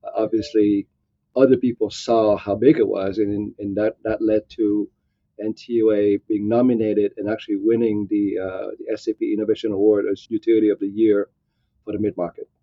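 A man's voice: lowish voice, flat delivery, moderate pace, no room sound, lo-fi sound. A man in his sixties who grew up in Vietnam, answering interview questions in a reflective way, with many fillers and restarts. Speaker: guest.

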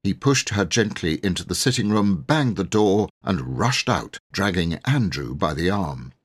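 Audiobook storyteller, male: expressive scripted narration, measured drama, husky tone, animated pitch. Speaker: audiobook reader.

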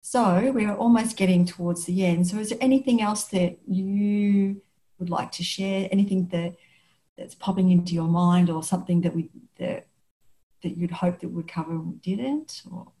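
A woman's voice: on the low side, fairly even intonation, normal speed, mellow, poor audio. An Australian woman hosting a podcast, talking in a thoughtful, casual way and often restarting her sentences. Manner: thoughtful, restarts sentences, frequent affirming murmurs. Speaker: podcast host. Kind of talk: casual interview chat.

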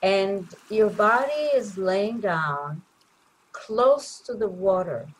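Very slow-paced woman guiding a meditation. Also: mid-range voice, animated, a little hiss or hum, rough recording. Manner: gentle, soothing, unhurried with long pauses. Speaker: meditation guide. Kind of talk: guided meditation.

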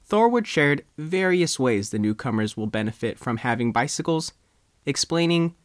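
A man reading a scripted news story as a reporter, in measured, short phrases. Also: fairly high pitch, animated, dry recording, clean studio sound. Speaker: news reporter and writer reading own article.

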